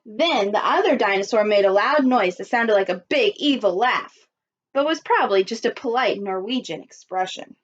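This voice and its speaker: A woman teacher reading a children's story aloud expressively and pausing to put questions to her listeners. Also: mid-range voice, expressive. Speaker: primary school teacher. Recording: dull lo-fi recording.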